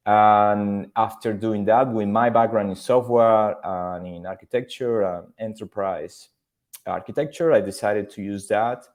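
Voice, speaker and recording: A man's voice: on the low side, darkish tone. A man from Argentina, speaking as a podcast guest in a thoughtful, casual way with frequent fillers. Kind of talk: casual interview talk.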